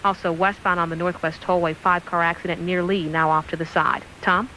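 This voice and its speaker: A woman's voice: lowish voice, little pitch movement, brisk, pushed and bright, rough recording. An American woman giving a radio traffic report.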